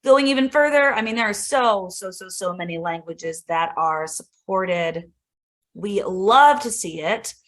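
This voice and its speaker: A woman coach demos software for teachers in a loose, chatty way, her mid-range voice bouncing with enthusiasm. She fills her talk with "like" and "right?" as she clicks through, friendly and informal. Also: medium pitch, animated, measured pace. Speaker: instructional coach presenting a tech demo. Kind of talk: casual screen-share tutorial.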